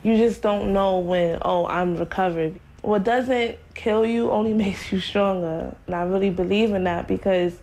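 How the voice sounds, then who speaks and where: A woman's voice: mid-range voice, steady tone, moderate pace, hardly any pauses, darkish tone. A woman in her twenties speaking casually and reflectively in an interview, often saying "like". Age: 20s. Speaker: interviewee, trafficking survivor.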